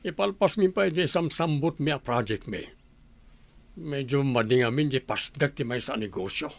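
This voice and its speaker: Man acting gravelly Filipino village father. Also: medium pitch, expressive, normal speed. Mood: matter-of-fact. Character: disapproving middle-aged village father of grown daughter. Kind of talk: village radio drama acting.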